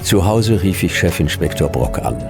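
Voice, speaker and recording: Very deep, gravelly world-weary detective. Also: male voice, expressive, moderate pace, over loud music. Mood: dry narration. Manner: laconic, sardonic. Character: world-weary, hard-boiled fifty-year-old male detective narrating his case. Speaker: lead character and narrator. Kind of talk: noir radio drama narration and dialogue.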